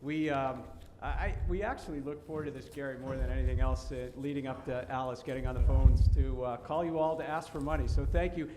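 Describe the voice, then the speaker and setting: A man from Massachusetts introducing an award winner at a reception, halting with many repeated words. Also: mid-range voice, steady tone, background noise. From Massachusetts, USA. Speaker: presenter introducing an honoree. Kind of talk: speech at a reception. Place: banquet hall. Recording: reverberant hall.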